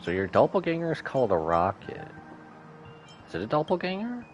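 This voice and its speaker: A man's voice: on the high side, wildly swooping pitch, fairly quick, highs cut off. A man livestreaming a game, giving playful commentary and reading dialogue aloud. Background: faint game music.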